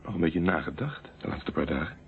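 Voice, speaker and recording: A shrewd male investigator in an old radio drama. His deep voice swings widely as he presses with dry questions. It sounds phone-like, with a little noise. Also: animated, brisk, vocal fry, exaggerated voice. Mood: dry, pressing. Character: shrewd, sardonic male investigator, probing and unafraid. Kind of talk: Dutch radio drama acting.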